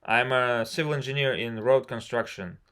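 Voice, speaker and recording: A man's voice: mid-range voice, moderate pace, a bit bright, clear, resonant tone. Rambling Russian-accented man. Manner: rambling and frank, many "you know" fillers. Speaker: civil engineer. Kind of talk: casual conversation practice. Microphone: video call.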